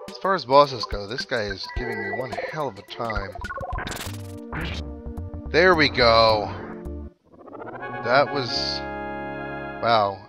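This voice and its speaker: A man giving casual commentary over a video game playthrough, thinking aloud with mild exclamations. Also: mid-range voice. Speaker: gaming video host. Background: video game music.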